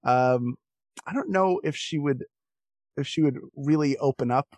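A man's voice: on the high side, unhurried, darkish tone, no echo. A man talking casually as a guest on a podcast.

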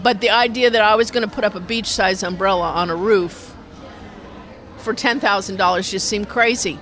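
A community radio organizer talks in a casual interview at a mid-range pitch. The explanations ramble along with animation, circling back and piling up detail. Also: moderate pace, a bit bright. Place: inside a brewery restaurant.

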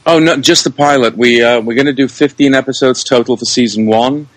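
A man talking casually as an interview guest, thinking aloud and pausing as he works out his answers. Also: medium pitch, no echo. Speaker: interview guest, show creator, writer and director.

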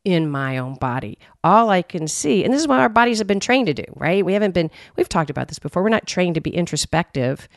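A woman co-hosting a podcast, with an intense, emphatic voice that rises when she gets animated. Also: on the low side, fast talker, hardly any pauses, no echo, studio quality. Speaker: podcast co-host. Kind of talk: conversational podcast discussion.

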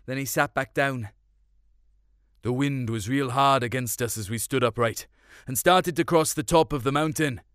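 A man's voice: mid-range voice, normal speed, fairly bright tone, smooth, dry recording, clean studio sound. A man reading a first-person story in a colloquial, melancholic way. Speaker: short story narrator.

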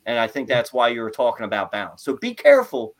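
A man hosting a casual interview chat, talking in an animated, rambling way with lots of "like" and "right?". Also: mid-range voice, quick pace. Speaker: podcast host.

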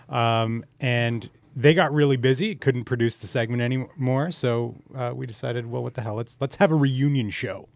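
A man talks as a podcast co-host. His delivery is casual and jokey, wandering as he goes. His voice has a middling pitch and sits in a very dry space. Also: no room sound. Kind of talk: casual interview chat.

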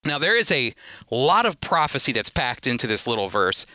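Very bright, forceful male Bible teacher. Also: medium pitch, sing-song, moderate pace, no room sound. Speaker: Bible teacher and show host. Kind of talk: teaching sermon with scripture readings.

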